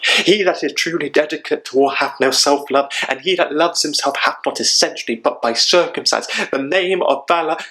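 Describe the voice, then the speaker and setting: A man's voice: on the high side, normal speed, sharp tone. A man playing a grief-stricken, vengeful young warrior in a Shakespeare monologue, declaiming with anguished intensity. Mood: anguished, raging.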